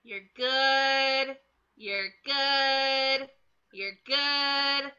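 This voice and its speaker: An actor voicing an excitable, naive young male cartoon sea sponge, eager and childlike, in a script reading. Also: high-pitched, very slow, highs cut off, heavily acted. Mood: cheery.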